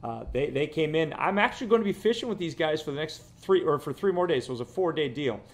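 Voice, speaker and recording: Chatty middle-aged fishing guide. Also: male voice, a little high, quick pace. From Florida. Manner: rambling and chatty, repeats words, animated. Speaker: fishing guide and vlog host. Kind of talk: casual fishing report vlog. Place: living room at home with TV on. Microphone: camera close up, seated.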